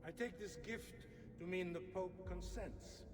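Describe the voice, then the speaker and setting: An actor playing a solemn, intoning Renaissance priest in a video game. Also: male voice, high voice, unhurried. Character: solemn Renaissance Italian priest plotting with nobles. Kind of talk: video game cutscene acting. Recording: long hall reverb, loud background noise.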